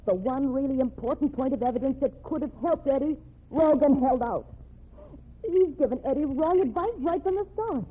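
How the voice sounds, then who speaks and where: A woman's voice: high-pitched, lively intonation, moderate pace. An actress playing a desperate, impassioned young woman in an old-time radio crime drama, urgent and pleading in a melodramatic way. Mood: accusing. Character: desperate, impassioned young woman fighting for her condemned sweetheart. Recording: tinny band-limited old radio recording.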